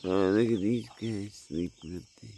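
A man's voice: on the low side, normal speed, vocal fry, warm tone, slight background noise. A man filming his backyard homestead at night, talking casually to his chickens in a laid-back, slangy way, with a handheld phone camera outdoors. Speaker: homesteader vlogger. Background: crickets and night insects.

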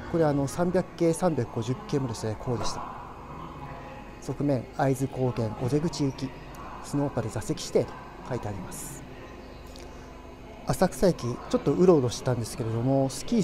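A man giving unhurried, casual travel vlog narration, explaining things in drawn-out phrases. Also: medium pitch. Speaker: railway travel vlogger. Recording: clear train and station noise behind.

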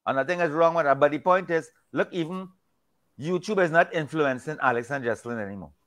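A man's voice: a little high, fast talker, a bit bright. An older man from Trinidad with a Trinidadian accent, talking casually about faith on a video call, often repeating words as he goes. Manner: rambling, repeats words, folksy. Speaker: discussion guest. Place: indoors at home, virtual backdrop. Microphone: video call webcam.

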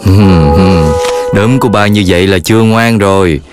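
A man playing a patient dog father in a children's cartoon, gently instructive. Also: deep voice, moderate pace, lightly acted. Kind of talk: animated children's cartoon dub. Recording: music under the voice.

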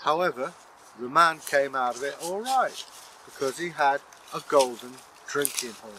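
A man's voice: medium pitch, a little slow, pushed and bright, slight background noise, poor audio. An older man, telling a folk tale and chatting to camera in a garden, in a dry, good-humoured way. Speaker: channel host and storyteller. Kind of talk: casual storytelling and banter to camera. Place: outdoor garden by a pond. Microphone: camera mic at a few metres.

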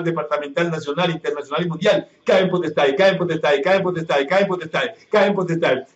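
A male pastor leading a fervent healing prayer in a church service broadcast on radio, repeating phrases in a chanting cadence and breaking into tongues. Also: on the high side. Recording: echoey dull radio broadcast.

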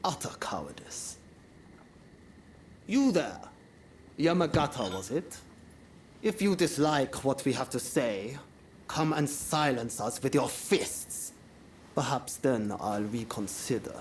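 An actor playing a haughty, taunting samurai challenger in a video game cutscene, deliberate, scornful and goading. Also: male voice, medium pitch, lively intonation, slight background noise. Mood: goading contempt.

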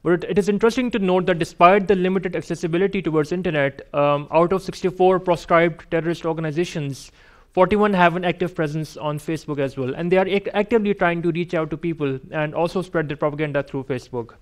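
A man's voice: a little high, fast. A man from Pakistan with a Pakistani accent, speaking semi-formally as a panelist at a conference. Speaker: panelist, digital rights journalist. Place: seated on stage in a conference room.

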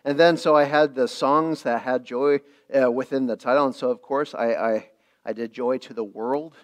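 A man's voice: medium pitch, steady tone, normal speed. A male pastor preaching a midweek sermon, earnest, repeating phrases and restarting lines.

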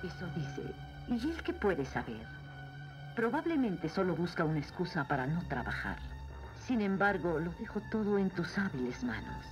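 A woman's voice: fairly low pitch, big pitch swings, moderate pace. A woman playing a proud, cold cartoon queen, regal and formal, with a Latin American Spanish accent. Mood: haughty. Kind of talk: dubbed animated series acting. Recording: dull dub audio with music.

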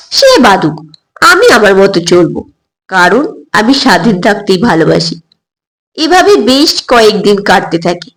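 A woman reading a scripted first-person story as narrator, in an expressive storytelling style. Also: mid-range voice, low-quality recording.

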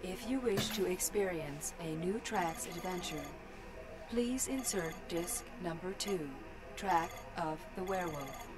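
A cool, commanding mission announcer for an audio game. She speaks slowly in a lowish voice over prominent music. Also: expressive. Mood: insistent. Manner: deliberate, commanding, instructive. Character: cool, authoritative female mission control announcer. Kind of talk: scripted interactive audio game narration.

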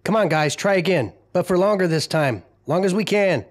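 Man playing high-voiced urgent team leader. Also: animated, moderate pace. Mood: urgent, determined. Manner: rallying, urgent. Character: urgent, determined male group leader. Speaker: voice actor. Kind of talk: sci-fi audio drama acting.

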